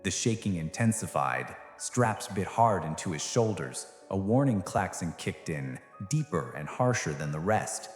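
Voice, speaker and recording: Man narrating dramatic space story, fairly low voice, echoey with music behind. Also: very animated, a little slow, few pauses. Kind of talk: scripted dramatic sci-fi narration.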